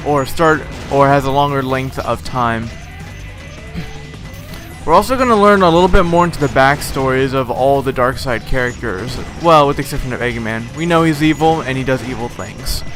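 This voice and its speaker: Slightly hoarse man commenting on gameplay. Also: mid-range voice, fairly bright tone. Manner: rambling, many "um" fillers. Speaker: let's play host. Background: game music.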